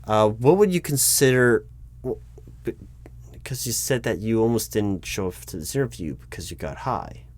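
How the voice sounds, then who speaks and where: A man playing a job interviewer in an ASMR roleplay, patient but baffled, with a dry, halting delivery full of restarts. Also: fairly low pitch, animated. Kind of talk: ASMR roleplay, spoken aloud, not whispered.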